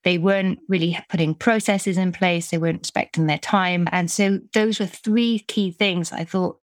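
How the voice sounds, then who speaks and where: A woman's voice: on the low side, steady tone, normal speed, no room sound, studio quality. A middle-aged British woman from the UK, a business coach talking casually in an interview, chatty with frequent restarts. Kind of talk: conversational interview.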